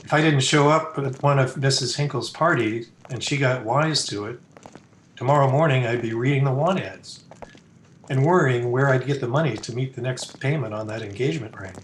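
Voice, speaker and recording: A man's voice: medium pitch, moderate pace, natural voice. A man playing a sardonic, wisecracking young fiancé in an old-time radio drama. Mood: sardonic griping. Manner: wisecracking, griping, slangy. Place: indoor room, recorded over a video call. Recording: roomy echo, noisy home video-call recording.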